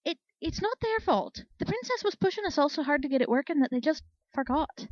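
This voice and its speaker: A woman's voice: sits high, expressive, a little fast, darkish tone, no echo, highs cut off, voice pushed up. A woman voicing a timid, shrinking female pony in a storybook, hesitant and meek.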